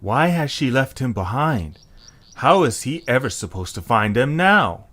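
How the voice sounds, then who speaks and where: A man's voice: mid-range voice, big pitch swings, unhurried, a bit bright. A man narrating a scripted story.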